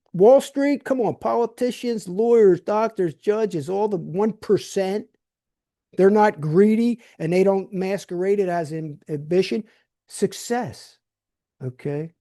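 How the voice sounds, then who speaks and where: A man's voice: sits high, warm tone. An older man hosting a podcast, talking bluntly in a loose solo monologue and often restarting his words. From New Jersey. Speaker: podcast host. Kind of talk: casual monologue.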